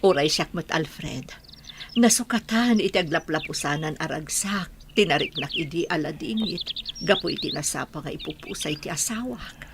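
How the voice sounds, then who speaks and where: Tender low-voiced widowed bride, radio drama. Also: female voice, lively intonation, normal speed, background noise. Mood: tender, earnest. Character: widowed bride Aurelia, tender, grateful, newly happy after grief. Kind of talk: Ilocano radio drama acting.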